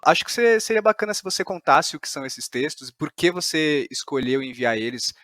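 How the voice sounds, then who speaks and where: A man's voice: a little high, animated, brisk, a bit bright, dry recording, clean studio sound. A man with a Brazilian accent hosting a casual interview, informal and reassuring, rewording his questions as he goes. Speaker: podcast host, interviewer.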